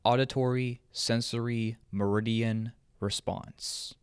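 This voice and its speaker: A man's voice: medium pitch, steady tone, slow, clean tone, no room sound. A man hosting a scripted video essay as a commentator, with a sarcastic, joking manner and casual swearing. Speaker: YouTube commentator and host.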